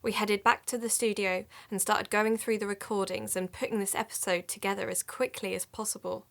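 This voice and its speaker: A woman plays a podcast host in an audio drama. She delivers serious scripted narration about an investigation in a medium-pitched voice. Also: steady tone, brisk, natural voice.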